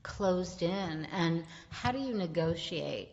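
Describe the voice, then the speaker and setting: A woman's voice: lowish voice, lively intonation, takes it slowly, dull audio. New York woman hosting, reverberant. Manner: chatty, frequent affirming murmurs. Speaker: podcast host. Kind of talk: casual interview chat.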